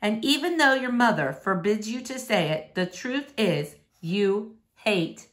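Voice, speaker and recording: A woman's voice: medium pitch, lively intonation, a little slow, a bit bright. Woman reading a verse novel aloud. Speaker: read-aloud reader.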